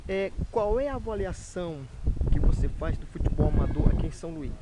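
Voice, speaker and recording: A man's voice: on the high side, animated, brisk, heavy background noise. A man asks informal interview questions as a sports reporter, recorded outdoors on a camera. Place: open-air football ground.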